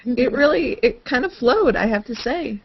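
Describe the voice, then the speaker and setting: A woman with a fairly high voice takes part in a casual group chat. Her audio is muffled, as heard over a low-quality video call. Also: animated, normal speed, gravelly, slightly rough. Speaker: hangout participant.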